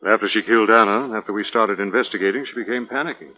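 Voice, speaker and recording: An actor playing a calm, reasoning gentleman detective in 1940s radio drama, explaining things in a measured, matter-of-fact way. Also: on the low side, a little hiss or hum, lightly acted. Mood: composed, explanatory. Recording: muffled vintage 1940s radio recording.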